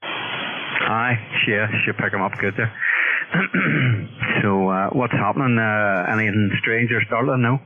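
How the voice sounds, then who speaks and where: A man's voice: fairly low pitch, takes it slowly. A man chatting casually and colloquially over a radio link. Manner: colloquial, matey. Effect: radio transmission. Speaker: radio operator. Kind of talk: casual chat. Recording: dull, crackly CB radio signal.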